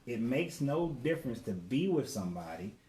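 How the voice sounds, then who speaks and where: A man's voice: medium pitch, very animated, normal speed, mellow. A man in his forties co-hosting a casual live talk with his wife, laid-back and slangy, greeting viewers by name. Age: 40s. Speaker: co-host. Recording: echoey room with faint background noise.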